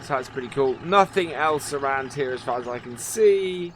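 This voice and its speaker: A man's voice: medium pitch, animated, moderate pace, very noisy. A man casually commentating a farming game as he explores it, upbeat and full of ums and uhs. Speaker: gaming channel host.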